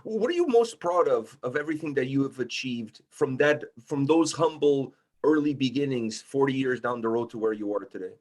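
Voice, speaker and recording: A man's voice: medium pitch, expressive, moderate pace, hardly any pauses, a little mellow. A middle-aged man from Portugal speaking conversationally as the host of an interview.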